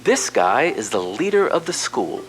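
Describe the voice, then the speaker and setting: A man's voice: medium pitch, big pitch swings, a little slow, soft background music. A man acting as a showy, enthusiastic television nature documentary announcer in an audio play, emphatic and authoritative. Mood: showy, informative.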